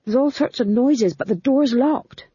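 Woman playing a shrill, small court fool. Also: measured pace, dark tone, slightly rough, dry recording, lo-fi sound, exaggerated voice. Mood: anxious. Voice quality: high and shrill.

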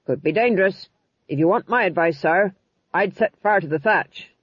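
A narrator voicing a loud, over-eager male army sergeant who is blunt and deferential, in a storybook reading. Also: mid-range voice, normal speed, breathy edge, no room sound, lo-fi sound, natural voice. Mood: dutiful, eager.

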